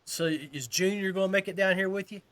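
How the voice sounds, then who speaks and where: A man's voice: high voice, brisk, fairly bright tone. A man hosting a fishing podcast in casual chat, talking informally like a buddy. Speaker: podcast host.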